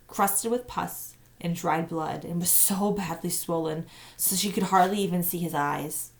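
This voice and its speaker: Low-pitched woman reading a story aloud. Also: fairly bright tone. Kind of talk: children's novel read-aloud narration.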